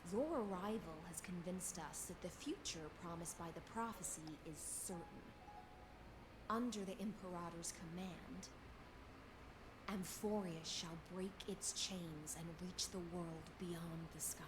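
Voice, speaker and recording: A woman's voice: on the low side, fairly even intonation, measured pace, background noise, close to an everyday voice. A woman playing a loyal, solemn female knight commander in a video game, courteous, composed and formal. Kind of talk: dramatic video game voice acting.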